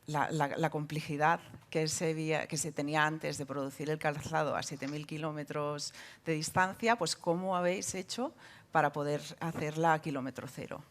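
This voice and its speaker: A woman moderates a panel and introduces the guests. Her voice is low for a woman. She hesitates often and fills the gaps with small fillers. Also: steady tone, moderate pace.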